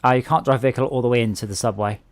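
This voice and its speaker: A man with a southern English accent chatting excitedly and jokily with friends while playing a game online. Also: mid-range voice, very fast. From England. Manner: chatty, excitable, jokey, tangents. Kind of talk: casual gaming chat.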